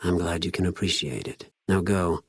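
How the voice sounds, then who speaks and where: An actor playing a cold, cunning spy of a Roman-styled slaver army in a video game, speaking in a measured, formal, menacing way with precise diction. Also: male voice, deep voice, breathy edge, no echo. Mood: calm, condescending. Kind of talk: scripted video game character dialogue.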